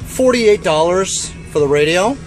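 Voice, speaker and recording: Casual guy with a slightly higher voice. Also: animated, measured pace, faint music behind. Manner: laid-back, colloquial, peppered with "man" and "you know". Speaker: vlogger reviewing car gadgets. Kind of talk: casual off-the-cuff demonstration and review. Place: inside a van.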